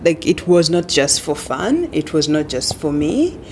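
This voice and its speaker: A woman from Tanzania, a guest in an interview, talking in an animated way with quick laughs and many fillers. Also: low voice, slight background noise. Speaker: edtech guest. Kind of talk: casual interview chat.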